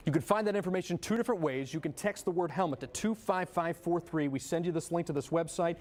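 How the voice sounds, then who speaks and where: American guy tv reporter, fairly high voice, walking viewers through stuff live. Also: fast. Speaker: TV news reporter. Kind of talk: live explanatory news report.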